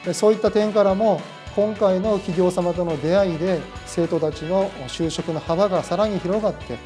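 A middle-aged man giving formal, polite and measured interview remarks as a school teacher. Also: sits high, warm tone, faint music behind. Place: school meeting room indoors.

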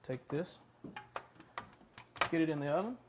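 A man's voice: fairly high pitch, animated, a little slow, noisy background. Chatty middle-aged man cooking at home. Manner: chatty, enthusiastic, talks while working. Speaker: cooking show host. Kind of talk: casual cooking demonstration. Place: large home kitchen.

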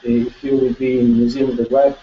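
Italian man, middle-aged, giving a halting talk, heard from across an echoey room. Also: medium pitch, level tone, slight background noise. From Italy. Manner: halting, many restarts and repeated words, gesturing. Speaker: guest researcher presenting. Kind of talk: lecture presentation. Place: lecture room with projector screen. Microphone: far room camera. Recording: echoey room, distant and dull.

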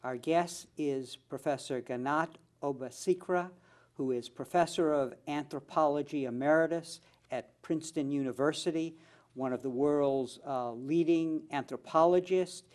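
A male interview host with a somewhat high voice opens the show and asks questions. He sounds formal, measured and courteous with his guest. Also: a little mellow.

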